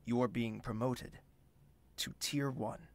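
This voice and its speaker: A formal, gentle young prince. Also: male voice, medium pitch, fairly even intonation. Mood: composed, courteous. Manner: formal, measured, courteous. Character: gentle, reserved young prince, formal and considerate, self-doubting. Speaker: character actor and first-person narrator. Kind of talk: scripted audio drama with inner monologue.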